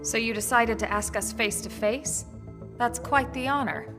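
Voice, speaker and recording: A woman's voice: a little high, unhurried, over music. A woman playing a dryly wry, decisive squad leader in a video game, speaking with controlled composure. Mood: cool, challenging. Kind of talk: video game cutscene acting.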